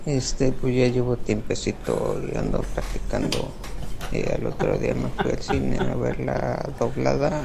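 A man putting on a rough, slangy young street character who rambles crudely in a comic monologue. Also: medium pitch, expressive, a little slow, background noise. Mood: rambling.

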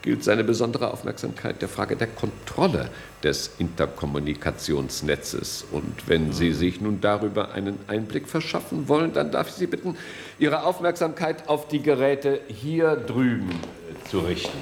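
An authoritative security service boss in a radio drama. His low voice moves widely in pitch as he delivers formal, guarded officialese with deliberate courtesy. Faint noise lies behind him. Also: big pitch swings, gravelly. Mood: smooth, guarded. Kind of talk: German radio play acting.

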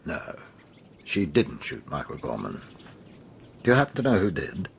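An actor playing a shrewd, bulky chief inspector in a British radio drama, with an English accent and a gruff, knowing manner. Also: male voice, rumbling low, wildly swooping pitch, moderate pace, slight background noise, exaggerated voice. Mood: grave, subdued. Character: shrewd, bulky male police chief inspector, blunt and assured.

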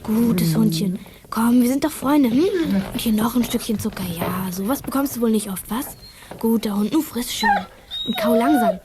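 Female actor as a high-voiced plucky young girl detective. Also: expressive, normal speed, a crowd in the background, natural voice. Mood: coaxing. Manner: spirited, cheeky. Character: plucky, quick-witted thirteen-year-old girl detective. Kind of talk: 1980s German youth radio play acting. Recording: noisy old audio drama recording.

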